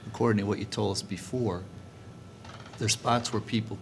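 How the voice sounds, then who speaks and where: A man's voice: fairly low pitch, fairly even intonation, normal speed. A man speaking as a board member in a meeting, arguing his points insistently and checking them with questions. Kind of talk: argumentative meeting discussion. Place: indoor board meeting room. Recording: noisy meeting room recording with clicks.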